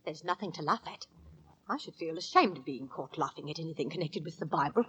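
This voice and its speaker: A woman's voice: low-pitched, lively intonation, fast talker, fairly bright tone, slight background noise, low-quality recording. An actress playing an anxious, stern middle-aged Victorian London landlady and mother, nervous and reproving, in 1940s radio drama style. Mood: uneasy, stern.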